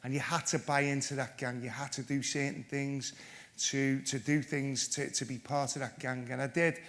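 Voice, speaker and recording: A man's voice: medium pitch, little pitch movement, moderate pace, fairly bright tone. A man giving a conference talk, telling his own story in a blunt, self-deprecating and joking way. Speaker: panel speaker, former PE teacher.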